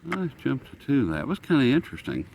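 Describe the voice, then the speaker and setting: A man's voice: mid-range voice, wildly swooping pitch, a little fast, vocal fry, a little mellow, a little hiss or hum, rough recording. A man hosting a hobby channel, giving a casual, unscripted demonstration in a folksy, rambling way as he muses aloud. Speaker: radio hobbyist channel host. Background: shortwave radio being tuned.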